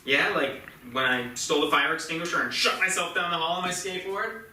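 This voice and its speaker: A man's voice: on the high side, a little fast, bright, forceful tone. An actor playing a brash, reckless, foul-mouthed teenage boy with slangy, goading delivery in a staged reading. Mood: taunting, goading. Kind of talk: staged play reading, casual slangy dialogue. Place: theatre stage before the mic at a distance. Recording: echoey theatre, distant mic, faint noise.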